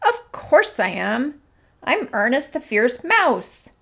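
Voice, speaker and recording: A woman voicing a small, fierce, boastful male mouse in a children's storybook, loud and emphatic. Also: sits high, big pitch swings, takes it slowly, gravelly, exaggerated voice. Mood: proud, boastful. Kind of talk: children's storybook read-aloud.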